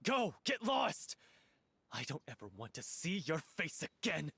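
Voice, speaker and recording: A man's voice: fairly high pitch, big pitch swings, measured pace, sharp tone, full character voice. A man voicing an earnest, hot-headed young theatre performer in a video game, intense, declamatory and confrontational, with faint noise. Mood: outraged.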